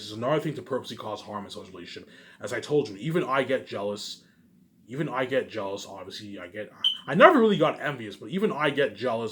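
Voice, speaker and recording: Fast-talking man, rambling and boastful. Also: fairly low pitch, a little hiss or hum. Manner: repetitive, restarts sentences, emphatic bursts that trail off; boastful, self-assured. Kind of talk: rambling casual monologue.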